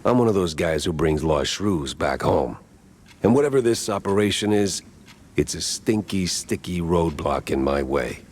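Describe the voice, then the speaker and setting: A man voicing a world-weary, wisecracking noir detective mouse in a game, deadpan, with an American accent. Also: deep voice, normal speed. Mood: hard-boiled. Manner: deadpan, dry.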